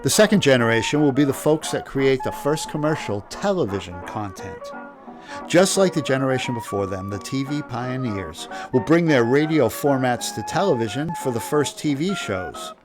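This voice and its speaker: A man's voice: mid-range voice, animated, moderate pace, over music. A man hosting and narrating from a prepared script. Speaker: host.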